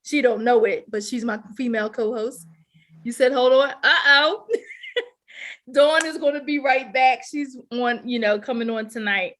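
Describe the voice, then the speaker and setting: A woman's voice: a little high, unhurried, fairly bright tone. A woman in her fifties hosting a casual relationship talk show on the radio. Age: 50s.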